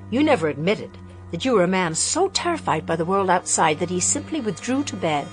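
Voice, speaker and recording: A restless young daughter, played by a woman, speaks earnestly, her voice lively and expressive. Faint music plays beneath her in a radio drama. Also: fairly low pitch, a little fast, low-quality recording.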